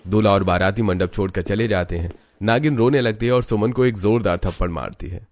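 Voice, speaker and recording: A man with a low voice serves as narrator of a moral family tale. His delivery is scripted, dramatic storytelling. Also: brisk, darkish tone.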